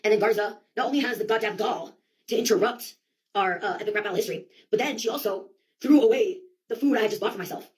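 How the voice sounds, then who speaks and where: Woman, quick-fire comic monologuist: rambling, slangy and sweary, with a theatrical streak and a mid-range voice. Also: medium pitch, low-quality recording. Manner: sweary, theatrical, slangy delivery with quoted outbursts.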